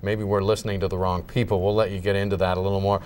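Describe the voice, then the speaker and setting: A man's voice: low voice, level tone, racing, a little mellow, slight background noise. A man hosting a TV interview show, asking questions in a conversational way. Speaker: TV talk show host.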